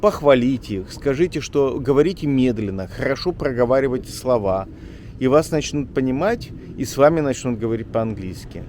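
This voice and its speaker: A man talking casually as a journalist vlogger on a walk through an old town street, rambling and chatty, often pausing on filler words. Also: mid-range voice, animated, warm tone, a little hiss or hum. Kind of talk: casual walking vlog chat.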